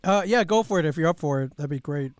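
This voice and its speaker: A man's voice: fairly high pitch, expressive, quick pace, dry recording, clean studio sound. A man speaking in casual chat.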